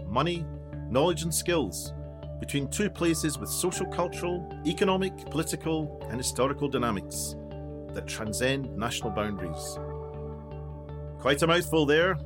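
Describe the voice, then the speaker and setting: An actor playing a self-important, easily baffled documentary maker in a mock-documentary radio play. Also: male voice, fairly high pitch, close to an everyday voice. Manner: pompous, showy. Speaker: documentary presenter.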